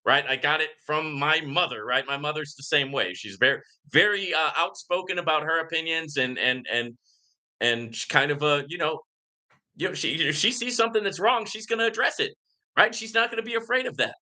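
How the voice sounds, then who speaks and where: Bright, forceful man livestreaming. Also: a little high, a little fast. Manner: outspoken, emphatic, repeatedly seeking agreement with "right?". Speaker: livestream host answering viewer comments. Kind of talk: casual live Q and A monologue.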